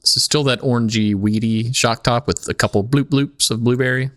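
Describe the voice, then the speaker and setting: A man's voice: mid-range voice, steady tone, normal speed, fairly bright tone, dry recording, clean studio sound. A man hosting a podcast with casual, crude joking banter. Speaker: podcast host.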